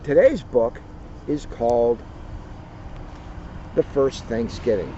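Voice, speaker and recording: Muffled, bright-voiced male storyteller outdoors. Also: mid-range voice, expressive, takes it slowly. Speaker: police officer reading a children's book. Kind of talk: read-aloud storytelling with casual asides. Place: outdoors at a turkey farm. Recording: muffled outdoor recording, faint vehicle noise.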